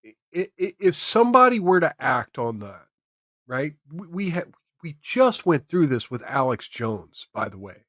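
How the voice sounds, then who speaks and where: Man, American accent, fairly high pitch, dry sound, emphatic opinionated streamer, repetitive phrasing. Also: sing-song, normal speed, pushed and bright, no echo. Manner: emphatic, repeats phrases for effect. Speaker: host of a live political commentary stream. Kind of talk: opinionated political commentary to camera.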